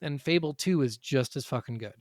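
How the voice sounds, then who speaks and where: A man co-hosting a gaming podcast, talking in a casual, animated storytelling way with easy swearing. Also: mid-range voice, fairly quick, dry recording, clean studio sound. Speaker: podcast co-host.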